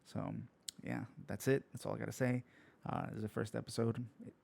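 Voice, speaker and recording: Man, croaky, sleepy, very gravelly, dark tone, rambling, casual swearing, solo show host. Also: mid-range voice, level tone, a little fast. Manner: rambling, with many fillers and restarts. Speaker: podcast host. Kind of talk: casual solo fight recap.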